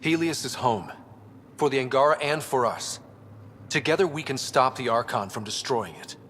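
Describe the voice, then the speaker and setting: An actor playing a young, heroic squad leader in a sci-fi game, speaking in a plainspoken, resolute, natural way. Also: male voice, mid-range voice, normal speed, a bit bright, slight background noise, natural voice. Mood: earnest. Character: young heroic male space explorer leading his squad. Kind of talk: scripted video game cutscene acting.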